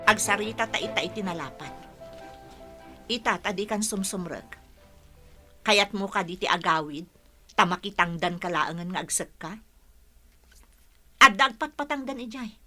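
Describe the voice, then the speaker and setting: A woman's voice: medium pitch, expressive, moderate pace, pauses often, sharp tone, faint music behind. A woman acting a hardworking, strict elder sister in an audio drama, reproachful and emphatic in manner. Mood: stern, reproachful. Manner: emphatic, scolding.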